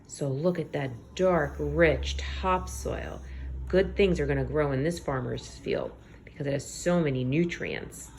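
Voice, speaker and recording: A woman teacher reading aloud to young children, expressive, pausing to explain things simply and check in with her listeners. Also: deep voice, gravelly, slight background noise. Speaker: elementary school teacher.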